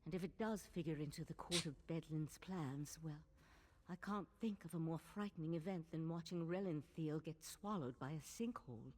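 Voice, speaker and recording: An actress playing a wary, serious female adventuring companion in a fantasy game, measured and thoughtful. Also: low-pitched, a little mellow. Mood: wary, concerned. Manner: measured, concerned.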